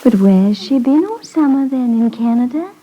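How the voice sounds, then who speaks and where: A woman's voice: high voice, lively intonation, moderate pace, warm tone, poor audio. An actress playing a shy, simple and earnest young fisher girl in a 1950s radio drama. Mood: quiet, tender. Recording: 1950s radio recording with music.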